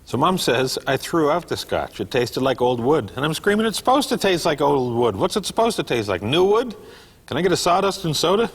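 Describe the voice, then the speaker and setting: A man's voice: fairly high pitch, very animated, a little fast, breathy edge, heavily acted. An actor playing a wry small-town man who does stand-up, joking with dry mock exasperation at a sitcom table read.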